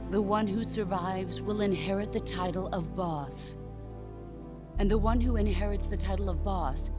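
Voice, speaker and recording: An actress playing a stoic, battle-hardened middle-aged soldier and mentor in a game cutscene, speaking gravely and deliberately. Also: female voice, lowish voice. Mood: solemn resolve. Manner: measured, deliberate, grave delivery. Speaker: video game character. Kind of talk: dramatic cutscene monologue. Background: orchestral music.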